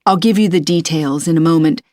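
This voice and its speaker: A woman acts a helpful university tutor with a slightly low voice. She explains things in a measured, instructive way, as in a scripted exam dialogue. The recording is dry. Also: warm tone, no room sound, lightly acted. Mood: helpful, advising. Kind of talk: scripted listening-test dialogue.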